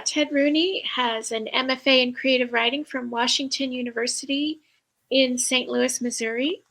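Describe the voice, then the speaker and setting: A woman hosts a literary event, giving introductions to readers. Her voice sits high, with noticeable vocal fry. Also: fairly bright tone, low-quality recording. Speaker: host of a literary reading.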